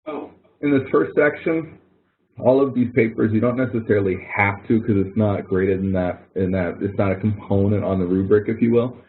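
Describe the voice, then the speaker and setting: Low-voiced man on a tinny call. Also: moderate pace. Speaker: instructor running a help webinar. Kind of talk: informal webinar walkthrough, explaining to students. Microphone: online webinar audio connection. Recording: narrow, tinny online-call audio.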